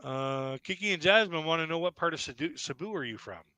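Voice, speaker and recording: A man's voice: on the high side, animated, brisk, sharp tone, dry recording. A man hosting a live-stream interview who jokes about himself. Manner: joking, self-mocking.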